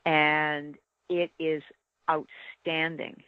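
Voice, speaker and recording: A woman's voice: low voice, little pitch movement, drawn-out words, clear, resonant tone, no echo, lo-fi sound. A woman speaking earnestly and emphatically from experience, giving practical advice in a casual panel chat. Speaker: podcast guest, experienced hunter.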